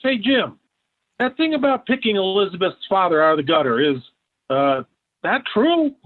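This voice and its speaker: An actor playing a hesitant, henpecked middle-aged husband in a 1940s radio sitcom, on a thin old recording. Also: male voice, sits high, big pitch swings, phone-quality sound. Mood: hesitant, placating. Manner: hesitant, halting.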